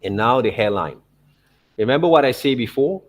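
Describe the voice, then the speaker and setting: A man narrating an informal art software tutorial as a teacher, in loosely phrased non-native English, often checking in with short tag words. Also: mid-range voice, lively intonation, normal speed. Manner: repeats check words like okay and right.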